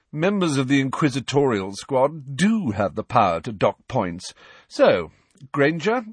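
A man's voice: mid-range voice, slightly rough, dry recording, voice pushed up. A man reading an audiobook, voicing a smug, spiteful teenage boy bully with a taunting drawl.

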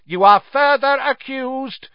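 A man voicing a stern, hate-filled older male judge in a story, loud, formal and clearly spoken. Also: very high-pitched, takes it slowly, dry recording, dull audio, voice pushed up, exaggerated voice. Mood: bellowing condemnation.

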